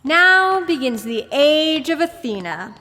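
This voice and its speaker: A woman's voice: sits high, very animated, slow pace, pushed and bright, heavily acted. Smug supervillain woman in echoing reverb. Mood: smug, gloating. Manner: mocking, theatrical, breezily threatening. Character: smug, sarcastic female supervillain in her cave lair. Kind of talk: audio drama acting. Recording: long echoing reverb, faint noise.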